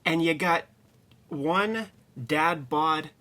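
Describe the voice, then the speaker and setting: A man's voice: fairly high pitch, drawn-out words, bright, forceful tone. A man co-hosting a review show in casual, slangy, rambling commentary. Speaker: co-host of a comics review show.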